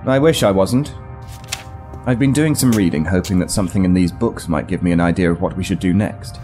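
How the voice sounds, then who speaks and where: An actor playing a weary but resolute military starship captain in an audio drama, measured, formal and authoritative. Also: male voice, sits low, mellow, soft background music, close to an everyday voice. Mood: grave, earnest.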